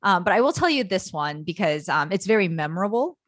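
Animated, self-deprecating woman telling stories. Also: fairly low pitch, fairly quick, no room sound, clean studio sound. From Guatemala. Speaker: co-host. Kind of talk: casual personal storytelling in banter.

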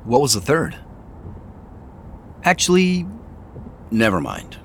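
An actor playing a wry, self-deprecating tech boss in an audio drama, conversational and playful yet guarded. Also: male voice, medium pitch, wildly swooping pitch, takes it slowly, vocal fry, fairly bright tone, husky, exaggerated voice. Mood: playful, amused. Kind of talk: naturalistic audio drama acting.

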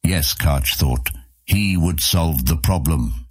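A man's voice: very deep voice, slow pace, husky, no room sound, clean studio sound. A man narrating a fantasy audiobook in a dramatic, scripted storytelling style.

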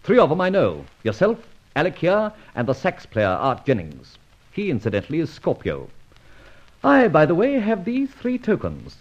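An actor playing a suave, commanding gentleman adventurer in an old radio drama, urbane, theatrical and sardonic. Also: on the high side, huge pitch swings, moderate pace. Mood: smug, commanding. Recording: dull old radio recording.

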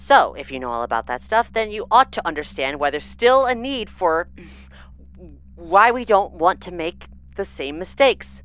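Tough moonbase security boss: lowish, animated, exasperated, commanding. Sci-fi audio drama. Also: female voice, moderate pace. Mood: brusque impatience. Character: blunt, impatient tough woman running moonbase security.

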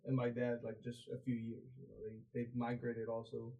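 A man's voice: mid-range voice, completely flat delivery, fairly quick, gravelly, warm tone, muffled recording. A young man raised in Mexico, a podcast guest chatting casually, rambling with many fillers and restarts. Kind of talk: casual interview chat.

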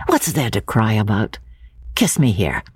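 A woman voicing a boyish, playful young English husband in a dramatic audiobook reading, murmuring and gentle. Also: very deep voice, very animated, measured pace, husky, no echo, pitched below the performer's own voice, heavily acted. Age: young adult.

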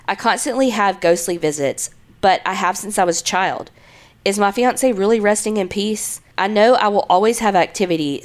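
A woman co-hosting a podcast with a congested, stuffy nose, chatting bluntly with plenty of swearing and reading listener stories aloud. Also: mid-range voice. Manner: blunt, swears freely, lively storytelling. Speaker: podcast co-host.

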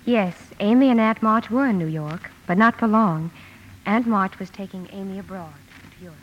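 An actress playing a genteel young sister, acting and narrating in a 1950s radio drama. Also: female voice, mid-range voice, normal speed, lightly acted. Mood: wistful narration. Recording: dull old radio broadcast with music.

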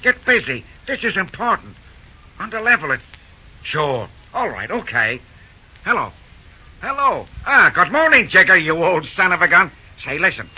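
An actor playing a folksy young railroad yard worker, talking in breezy slang, in a 1930s radio drama. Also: male voice, high voice, very animated, normal speed, bright, forceful tone, breathy edge, exaggerated voice. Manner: breezy slangy phone talk. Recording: dull old radio recording.

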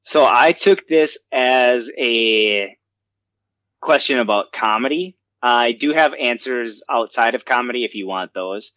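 A man's voice: mid-range voice, measured pace, a bit bright, clear, resonant tone, dry recording. A man co-hosting a comedy podcast, chatting casually and self-deprecatingly with many fillers. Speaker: podcast co-host. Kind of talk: casual chat.

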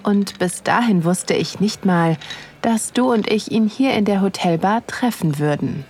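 A woman playing an excited, aroused wife who narrates an erotic audio drama in an intimate, breathy manner. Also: medium pitch, unhurried, a little hiss or hum, lightly acted. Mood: confiding, anticipating.

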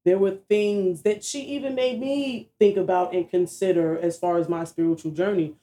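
Woman, lowish voice, mellow tone, measured and thoughtful, casual chat. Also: fairly even intonation.

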